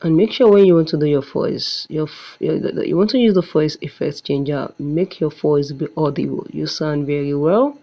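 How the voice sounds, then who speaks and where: Male tutorial presenter, mid-pitched with a creaky edge, restarts his instructions, lo-fi muffled sound. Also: moderate pace, hardly any pauses. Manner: repeats and restarts phrases. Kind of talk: step-by-step screen tutorial narration. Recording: dull, muffled lo-fi recording.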